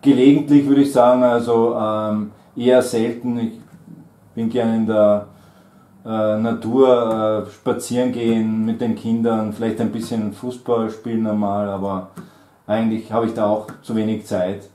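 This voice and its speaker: A man's voice: on the low side, level tone, a little slow, dark tone, echoey room. Hesitant middle-aged man examiner. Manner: hesitant, many fillers, friendly laughs. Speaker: German trainer and examiner. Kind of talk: oral language exam conversation. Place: small indoor room at a table.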